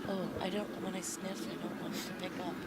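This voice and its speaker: Soft-spoken woman commentating curling, with a cold. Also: on the low side, little pitch movement, fast talker, very noisy. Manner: joking, rambling, soft-spoken. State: has a cold. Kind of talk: casual play-by-play commentary. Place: curling club rink.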